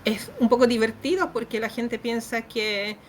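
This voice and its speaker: A woman's voice: fairly high pitch, slight background noise. Polish-accented woman speaking Chilean Spanish. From Poland. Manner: halting, many fillers and restarts. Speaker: guest author. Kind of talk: casual interview chat.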